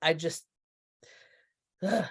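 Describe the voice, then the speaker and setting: A middle-aged woman from Texas giving casual interview answers, thinking aloud and correcting herself as she goes. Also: drawn-out words. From Texas, United States. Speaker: interview guest, theatre producer.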